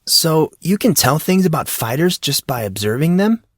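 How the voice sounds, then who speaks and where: A man's voice: on the high side, sing-song, normal speed, no room sound, pitched above the performer's own voice. A guarded teenage boy, puzzled and full of questions, dodging anything personal, as a man reads him. His voice sits raised and swings widely. The sound is dry and close. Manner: questioning, evasive.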